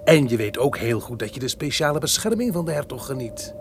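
A man's voice: medium pitch, big pitch swings, brisk. An actor playing a talkative, sly, nervous soldier who boasts and stumbles over his words in a gothic radio play. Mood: taunting. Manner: chatty, boastful, stammering over slips. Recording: noisy old radio recording.

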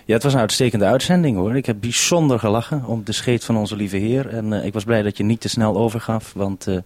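A male radio interviewer with a slightly low voice in a dry, echo-free sound. He talks informally and off the cuff, explaining things and putting questions to his guest. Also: a little fast, a little mellow. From Netherlands. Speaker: radio programme maker, interviewer. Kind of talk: unscripted interview over radio link.

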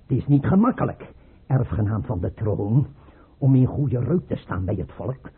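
An actor playing a paranoid, scornful tsar in a radio play, imperious and abrupt, with a husky, rough voice. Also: male voice, mid-range voice, sing-song, moderate pace, full character voice. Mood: scornful, menacing. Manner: imperious, abrupt, mocking, swinging from mutter to outburst. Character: paranoid tyrant tsar, erratic, scornful, suspicious father. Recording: muffled, tinny old radio play recording.

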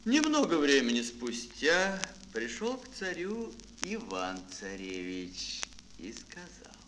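A man narrating a children's fairy tale theatrically, with dramatic phrasing and pauses, as a storyteller in an audio play. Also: a little high, huge pitch swings, a bit bright, a little hiss or hum, rough recording. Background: music.

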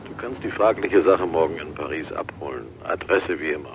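A man's voice: rumbling low, fairly quick, gravelly. An actor in a German radio crime drama playing a terse, shady middleman, heard through a telephone. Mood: businesslike. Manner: terse, laconic. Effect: telephone filter. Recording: dull, noisy telephone line.

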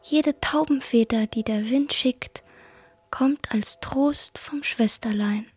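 A woman playing a tender figure reciting poetry in a radio drama, in a breathy, half-whispered voice. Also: on the high side, slow pace, darkish tone, no echo. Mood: tender, wistful. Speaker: radio play actress. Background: faint music.

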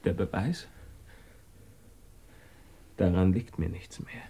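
A man's voice: low voice, slow pace, warm tone, a little hiss or hum. A man playing a troubled, proud suspect under questioning in a German radio drama, speaking formally and intensely. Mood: resigned, solemn.

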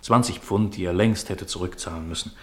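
An actor playing a devoted, earnest husband with simmering resentment in a 1950s German radio play, speaking formally and fervently with careful articulation. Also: male voice, low-pitched, brisk, a bit bright. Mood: resentful.

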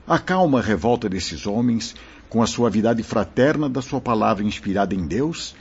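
An actor playing a wise, kindly spirit mentor in a radio drama, speaking in a solemn, formal, explaining way with a husky voice. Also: male voice, lowish voice, animated, moderate pace. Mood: solemn, benevolent. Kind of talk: radio drama acting, declamatory. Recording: muffled lo-fi radio drama recording.

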